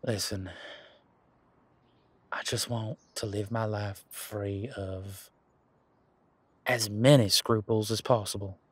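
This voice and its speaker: A man playing an earnest, teasing werewolf boyfriend in an intimate roleplay audio drama, speaking casually and haltingly with a husky voice. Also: on the low side, fairly even intonation. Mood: earnest, hopeful. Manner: casual, halting, conversational with trailing pauses. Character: male werewolf boyfriend, earnest, hopeful, teasing former bodyguard.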